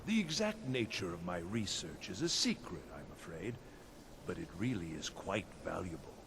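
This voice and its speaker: Gravelly, evasive, swooping mage researcher. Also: male voice, low-pitched, big pitch swings, moderate pace, noisy background, exaggerated voice. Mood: secretive, self-important. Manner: evasive, hesitant, formal. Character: evasive, self-important male researcher mage. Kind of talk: fantasy video game dialogue.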